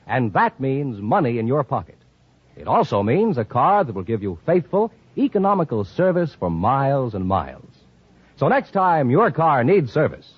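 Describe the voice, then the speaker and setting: A man's voice: fairly high pitch, breathy edge, no echo. A man announcing on a 1950s radio quiz show and delivering sponsor copy in period announcer style. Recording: dull old radio broadcast.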